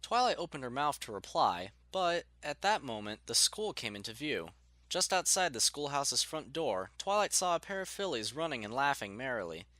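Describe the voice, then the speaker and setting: A man's voice: mid-range voice, big pitch swings, normal speed, bright, forceful tone, no room sound. A man reading scripted story narration as the narrator of an audiobook.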